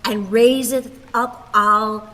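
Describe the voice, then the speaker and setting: A woman playing a frail, emotional old Irish wife in a radio play, speaking in a plaintive, halting way. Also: a little high, fairly even intonation, a bit bright. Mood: deliberate. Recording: reverberant hall, hissy rain-like background noise.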